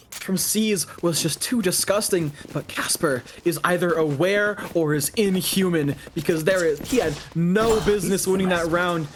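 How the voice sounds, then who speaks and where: Male esports caster, fairly high voice, live game commentary, some noise and clicks behind.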